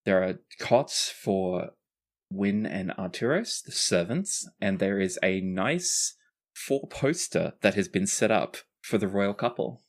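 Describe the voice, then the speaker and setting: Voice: low-pitched, expressive, takes it slowly. A game master narrating a tabletop roleplaying game in a casual way, with some swearing.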